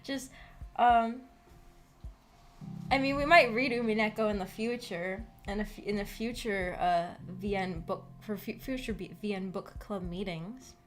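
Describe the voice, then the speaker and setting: A woman hosting a book club livestream, chatting casually and haltingly with her audience, often giggly. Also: mid-range voice, faint music behind. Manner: giggly, breaks into small laughs often. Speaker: host of a visual novel book club stream. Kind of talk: casual livestream discussion with chat.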